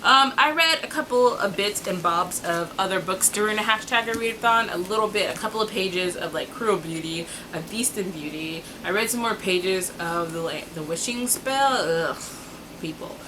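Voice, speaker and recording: A woman giving a casual, chatty book review in informal, slangy phrasing, with exasperated asides and drawn-out emphasis. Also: mid-range voice. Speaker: book vlogger. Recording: noticeable background noise.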